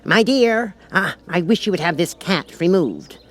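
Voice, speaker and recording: A man's voice: sits high, lively intonation, unhurried, voice pushed up. A man voicing a pompous, fussy, easily offended king in a storybook, speaking with grumbling decisiveness. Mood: decided, eager. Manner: pompous, decided, grumbling hums.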